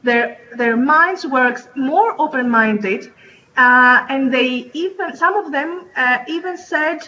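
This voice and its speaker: Woman panelist, bright tone, hesitant delivery, dull video-call sound. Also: high voice, slow, slight background noise. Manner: hesitant, many fillers and restarts. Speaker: English teacher and researcher. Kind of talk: panel discussion remarks. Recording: muffled online audio.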